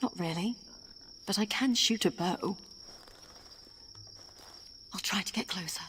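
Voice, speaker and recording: A woman's voice: medium pitch, a little slow, a bit bright, a little hiss or hum, lightly acted. Woman acting plucky young adventuress, wry, self-assured, audio game drama, reverb, faint cricket ambience. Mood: alert, determined.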